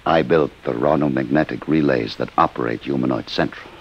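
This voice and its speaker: Very deep, gravelly, grave inventor. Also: male voice, full character voice. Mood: remorseful, grave. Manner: grave, deliberate. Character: remorseful, grave inventor of humanoid robots. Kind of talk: 1950s radio drama acting. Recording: hissy, dull vintage radio recording.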